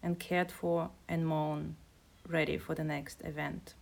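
A woman poet and translator from Kazakhstan, speaking in a measured, hesitant way as she talks and reads. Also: deep voice, little pitch movement, gravelly. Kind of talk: casual conversation and poetry reading.

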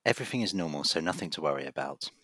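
Male actor as earnest GP in audio drama; husky, forceful, measured, very dry close sound. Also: rumbling low, animated, no room sound, exaggerated voice. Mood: reassuring, concerned. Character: reassuring, persistent male family doctor with a secret.

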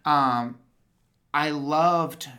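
A man in a casual podcast chat about a TV episode, talking excitedly in slang with lots of fillers and restarts. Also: fairly high pitch, very slow. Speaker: podcast co-host.

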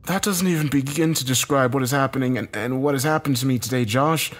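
A man's voice: medium pitch, quick pace, voice pushed up, natural voice. A man playing a distraught, confrontational husband and father in a dramatic story, insistent and questioning.

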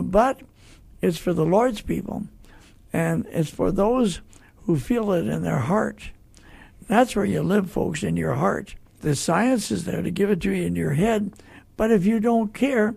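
Male radio host, American, very creaky and husky, folksy and earnest with listeners. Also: high-pitched, a little mellow. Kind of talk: conversational religious talk radio.

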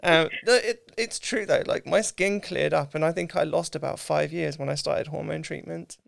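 A woman, hosting a casual interview in an informal, thoughtful way with halting phrasing. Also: low-pitched, gravelly, no room sound, studio quality. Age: mid 30s. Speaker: podcast host, interviewer.

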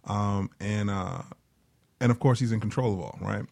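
Gravelly, lowish-voiced man chatting reflectively. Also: normal speed, a little mellow. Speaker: panelist on a discussion show. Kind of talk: casual, reflective discussion.

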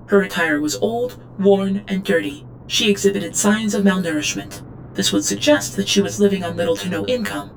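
A woman's voice: mid-range voice, fairly even intonation, moderate pace, vocal fry, faint music behind, lightly acted, rough recording. A woman playing an obedient female servant drone in an audio drama, reporting in a stilted, clinical third-person manner. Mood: clinical, subdued. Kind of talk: scripted audio drama monologue.

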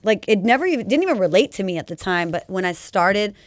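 A woman DJ from Los Angeles talks casually and bluntly on a podcast, swearing freely and filling her speech with "like". Her voice sits at a middle pitch for a woman. The recording is close and dry, with no room echo. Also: very animated, fast. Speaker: DJ, podcast guest. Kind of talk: casual interview chat.

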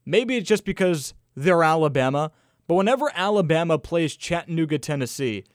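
A man co-hosting a sports radio show with a fairly high voice, loud and joking, full of energy. The studio sound is dry and close. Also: expressive, brisk, no room sound. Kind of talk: casual sports talk radio.

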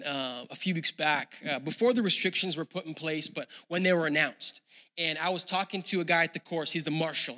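Bright, high-voiced male pastor preaching. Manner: conversational, building to loud emphatic preaching. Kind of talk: sermon.

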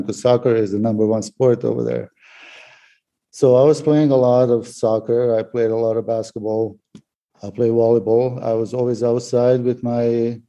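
Man from Bosnia, forties, very dark mellow voice, slow halting speech, podcast guest. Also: mid-range voice. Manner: slow, measured, with many pauses and fillers.

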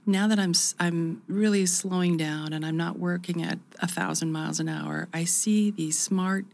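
A woman's voice: on the low side, fairly even intonation, normal speed, gravelly, a little mellow. A woman in her fifties from the United States, talking reflectively as an interview guest, with many restarts. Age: 50s. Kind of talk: conversational interview.

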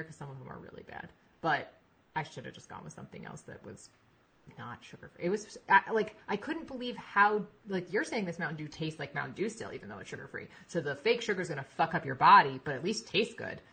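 A woman in her thirties, a podcast guest talking in a rambling, animated way and laughing easily. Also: low voice, a little fast, gravelly, husky. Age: 30s. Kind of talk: casual chat.

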